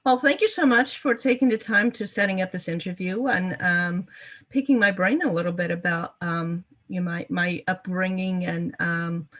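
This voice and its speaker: Woman in her fifties, from Portugal, native Portuguese speaker, lowish voice, halting interview talk full of ums. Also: a bit bright. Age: 50s. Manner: hesitant, frequent ums and repeated words. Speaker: interview guest. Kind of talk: conversational life story interview.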